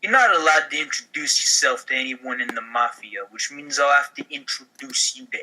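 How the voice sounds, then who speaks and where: A man's voice: medium pitch, a little fast, bright, forceful tone, slight background noise, rough recording. A man acting a slick, pushy, sleazy mafia recruiter in an amateur comedy table read. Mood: explanatory, conniving.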